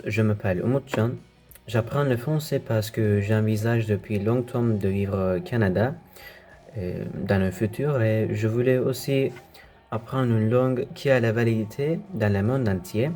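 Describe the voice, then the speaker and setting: A young man, a native Turkish speaker, giving a measured prepared answer as a language student, with brief hesitations. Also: lowish voice, mellow, soft background music. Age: young adult.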